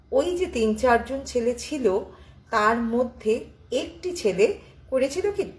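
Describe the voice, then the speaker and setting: A woman's voice: a little high, low-quality recording. A woman telling a story informally and unscripted, hesitant and conversational, explaining as she goes. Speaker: storyteller on a ghost story show.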